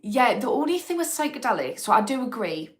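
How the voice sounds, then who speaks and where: A woman's voice: fairly high pitch, sing-song, fairly quick. A woman, hosting a casual livestream and talking frankly about addiction recovery as she answers viewers' comments. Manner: chatty and frank, thinking aloud with fillers. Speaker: host of an addiction recovery channel.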